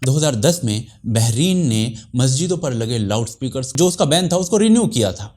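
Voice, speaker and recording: Animated man presenting a scripted explainer. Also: mid-range voice, a little hiss or hum.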